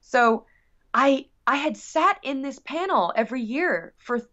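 A woman's voice: sits high, measured pace. Gushing, chatty woman on dull audio. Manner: gushing, excitable, rambling. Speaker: guest musician. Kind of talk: casual, chatty interview.

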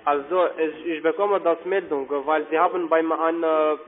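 A man with a fairly high voice and a foreign accent talks over a tinny phone line. He works through a call center sales pitch haltingly, often repeating himself. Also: steady tone, noisy background. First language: not German. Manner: hesitant, repetitive. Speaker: call center investment agent.

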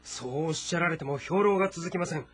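Deferential ancient court adviser: slightly high, husky, measured and polite, game drama. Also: male voice, fairly bright tone. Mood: cautious. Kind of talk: dramatic audio-novel game acting.

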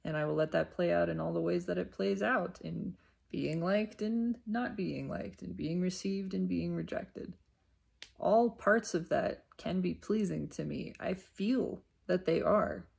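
A woman speaks in an unhurried, reflective monologue, as if thinking aloud. Her voice is husky with a lot of fry at the edges. She breaks her thoughts into short phrases and repeats them deliberately. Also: on the low side, a little mellow. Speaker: self-reflective spiritual vlogger. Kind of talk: unscripted reflective spiritual monologue.

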